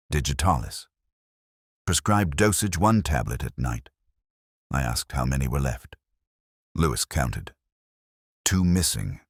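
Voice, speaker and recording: A man narrating a scripted first-person detective story in a measured, literary and formal way. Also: rumbling low, slightly rough, no room sound, studio quality.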